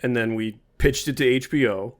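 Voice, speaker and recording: A young man co-hosts a podcast, slipping in dry jokes during easygoing back-and-forth. His voice sits at an ordinary middle pitch. His delivery is relaxed and unscripted. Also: normal speed. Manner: dry, joking asides. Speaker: podcast co-host. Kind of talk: casual podcast chat.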